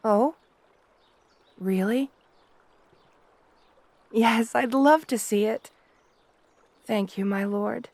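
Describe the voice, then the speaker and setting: A woman playing a shy, gentle, devoted young princess bride in an ASMR roleplay, speaking softly and hesitantly, with deference. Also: a little high, drawn-out words, creaky, long pauses, no echo, heavily acted.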